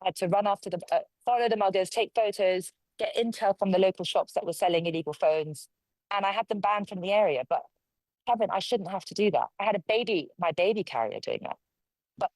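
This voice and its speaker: A woman's voice: medium pitch, darkish tone, dry recording, rough recording. A woman from London, a local councillor, giving forthright, conversational answers as an interview guest. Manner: forthright, conversational, speaks her mind.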